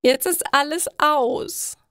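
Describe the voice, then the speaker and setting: Woman voicing a high-pitched, despairing teenage girl. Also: slow pace, fairly bright tone, no room sound, studio quality. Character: tearful, despairing pregnant teenage schoolgirl. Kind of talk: acted dialogue in a slow learner's audio story.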